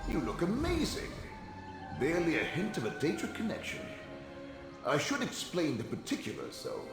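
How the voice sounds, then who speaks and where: A man's voice: fairly high pitch, huge pitch swings, moderate pace. A man playing an eccentric, self-assured fantasy wizard in a video game, articulate, theatrical and musing, with a heavy ghostly reverb on his voice. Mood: encouraging, pleased. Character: eccentric Telvanni wizard, male, self-assured and dryly fussy. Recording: very echoey reverberant hall sound, music behind.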